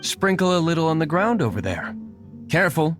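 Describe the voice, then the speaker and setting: Stoic young nobleman, lively intonation. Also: fairly high pitch, soft background music. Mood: composed, instructive. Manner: formal, reserved, measured. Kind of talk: video game character voice acting.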